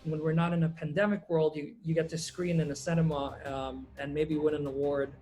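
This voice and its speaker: A man's voice: a little high, fairly quick, faint music behind. Laid-back man, filmmaker guest. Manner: relaxed, rambling, many ums and uhs. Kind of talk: casual interview answers.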